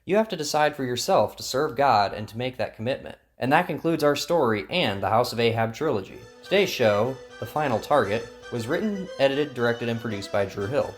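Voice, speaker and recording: A man's voice: medium pitch, animated, brisk, hardly any pauses. A man narrating a scripted Bible story in a storytelling style. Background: plucked guitar music.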